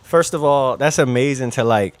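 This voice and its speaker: Man, American accent, mid-pitched voice, podcast host, slangy hype-man delivery, casual, dry recording. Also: moderate pace, dry recording. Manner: slangy, hype-man exclamations, frequent "man" and "you know". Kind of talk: casual hyped podcast chat.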